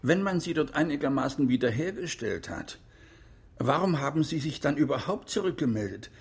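A probing, sceptical interrogator in a radio drama. The voice is gravelly and rough, with a lively melody. His questioning is formal. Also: fairly high pitch, moderate pace, heavily acted.

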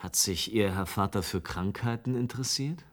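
Actor as a low-voiced, inquisitive reporter. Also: male voice, moderate pace, close to an everyday voice. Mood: pondering. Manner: courteous, probing, attentive. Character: polite, inquisitive male press reporter. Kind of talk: German radio play acting.

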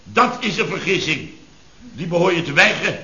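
Blustering, self-important boss, echoey old radio. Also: male voice, sits high, lively intonation, moderate pace, sharp tone, slight background noise. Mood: indignant, blustering. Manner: exasperated, pompous, emphatic. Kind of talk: 1970s Dutch radio comedy acting. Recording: muffled, echoey old radio recording with audience.

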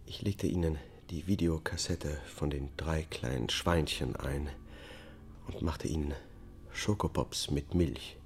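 Deep male voice, haunted defendant, measured confession, subdued, radio drama testimony. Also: a little hiss or hum. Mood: subdued, matter-of-fact. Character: accused man confessing to killing his family, subdued and haunted. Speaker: actor playing the defendant.

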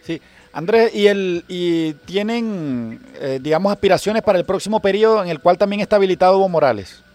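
A Venezuelan man hosting a radio news program, reading items briskly and introducing a correspondent. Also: sits high, a little mellow. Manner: brisk announcer delivery. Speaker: radio host. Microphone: studio microphone.

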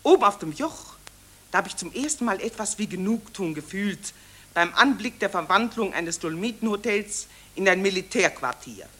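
A woman's voice: medium pitch, expressive, moderate pace, fairly bright tone, husky. An actress playing a gushing, self-important female war reporter in a satirical radio drama, declaiming in an affected, theatrical way. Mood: self-satisfied.

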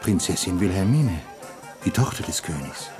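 A fairly deep-voiced courtier, formal and measured. He advises with patient, insinuating politeness in radio drama style, over loud music. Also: lively intonation. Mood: persuasive. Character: smooth, patient courtier advising his king. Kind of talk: German radio play acting.

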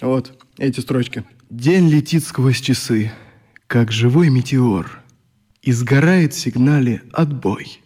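A man's voice: medium pitch, slow, warm tone, slightly rough. An actor in a radio play playing a sick, irritable young man who leads youngsters with idealism. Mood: quiet, earnest. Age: young adult. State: coughing, sick with flu.

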